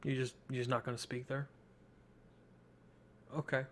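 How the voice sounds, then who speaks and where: A man's voice: medium pitch, little pitch movement, normal speed, fairly bright tone, a little hiss or hum. A man giving casual let's play commentary as he streams a game. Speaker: streamer, gameplay host.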